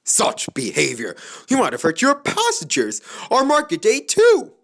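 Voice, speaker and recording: A man voicing an old, gallant male steam engine in a storybook, speaking in loud, earnest exclamations and puffing as he goes. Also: medium pitch, animated, slow pace, pitched below the performer's own voice. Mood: scolding. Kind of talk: storybook character voice.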